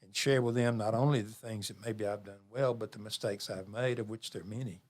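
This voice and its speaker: Low-voiced man in his seventies, measured. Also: fairly even intonation, a little mellow. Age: 70s. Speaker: interview guest, community program leader. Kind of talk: reflective interview conversation.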